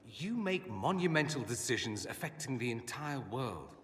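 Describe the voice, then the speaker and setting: A man's voice: medium pitch, big pitch swings, normal speed, big-room sound, lightly acted. An actor playing a witty, earnest nobleman mage in a video game, speaking with wry, theatrical eloquence. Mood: tender, firm. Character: witty, earnest, self-questioning mage nobleman, adult man. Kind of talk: video game cutscene acting.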